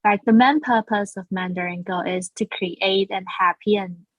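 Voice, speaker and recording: A woman's voice: medium pitch, fairly even intonation, normal speed, dry recording, low-quality recording. A woman from Taiwan, a school founder being interviewed, talking earnestly with frequent restarts. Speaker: guest, founder of a language school. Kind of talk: casual interview.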